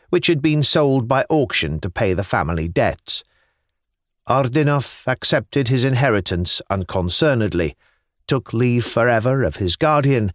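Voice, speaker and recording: A man narrating literary fiction as an audiobook, reading in a measured, expressive storytelling style with careful phrasing. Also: mid-range voice, no room sound, clean studio sound.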